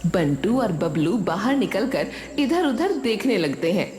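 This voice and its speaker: A woman's voice: mid-range voice, big pitch swings, unhurried, a little mellow. Woman narrating a story, echoey.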